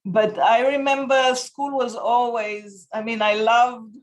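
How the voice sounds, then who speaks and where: A woman's voice: on the high side, fairly even intonation, slow, low-quality recording. A woman in her fifties with an Israeli accent, a guest on a video call from her home, searching for words as she talks. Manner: thoughtful, searches for words, laughs easily. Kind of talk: casual interview. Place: home room with bookshelves. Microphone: video call laptop mic.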